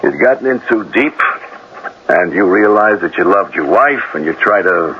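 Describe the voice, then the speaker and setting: An actor playing a polite, probing police sergeant in a 1970s radio drama, with an official, methodical manner. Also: male voice, deep voice, lively intonation, normal speed. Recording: muffled old radio recording, faint noise.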